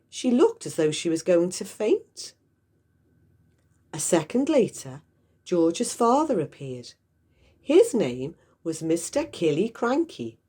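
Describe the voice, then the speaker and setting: A woman reading a children's story aloud with expressive, dramatic emphasis. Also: on the low side, husky. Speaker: storybook reader. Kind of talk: animated scripted children's story narration.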